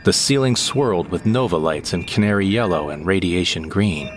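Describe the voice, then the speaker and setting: Guy playing deep voiced wry sidekick narrator, sci-fi comedy audio drama, clear noise behind him. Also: moderate pace, natural voice. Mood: wistful, droll. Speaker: first-person narrator.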